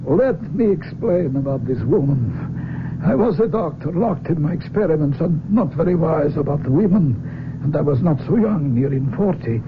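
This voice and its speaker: An actor playing an aging, proud Russian research doctor in a radio drama, speaking formally and deliberately with a Russian accent. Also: male voice, on the high side, lively intonation, lightly acted. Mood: grave, bitter. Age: older. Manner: formal, deliberate phrasing, searching for words. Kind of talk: 1970s radio drama acting. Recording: noisy, narrow-band old radio recording.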